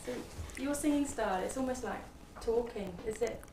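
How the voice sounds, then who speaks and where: A woman's voice: a little high, normal speed, distant mic, background noise. A woman interviewing a musician, asking long, hesitant questions with many restarts. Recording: roomy, off-mic with faint ambience.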